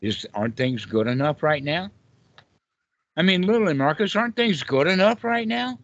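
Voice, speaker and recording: A man's voice: on the high side, lively intonation, normal speed, a bit bright, dry recording. A man with a Southern US accent speaks as a meditation teacher to a small group online. He is emphatic and leans on repeated rhetorical questions. The sound is dull and lo-fi, close and dry, like an internet call.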